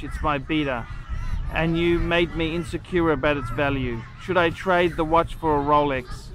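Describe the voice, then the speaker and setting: A man's voice: fairly high pitch, a bit bright, slight background noise. Blunt, profane man ranting outdoors. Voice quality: blunt, crude and profane, rambling with many restarts. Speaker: watch channel host. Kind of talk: casual crude vlog rant. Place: outdoors in a park on grass. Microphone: lapel mic.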